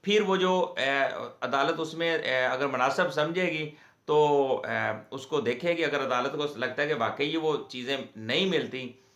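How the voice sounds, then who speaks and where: A man with a Pakistani accent giving an informal legal lecture to viewers in a halting, repetitive way. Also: medium pitch, fairly bright tone. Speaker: legal explainer, host of a law channel. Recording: echoey, reverberant room sound.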